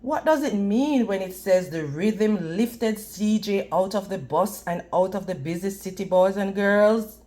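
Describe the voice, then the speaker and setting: Slow-paced woman reading a children's storybook. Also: mid-range voice, dark tone. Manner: deliberate, teacherly, pausing to quiz and praise listeners. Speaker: children's read-aloud reader. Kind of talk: read-aloud storybook narration with questions to young listeners.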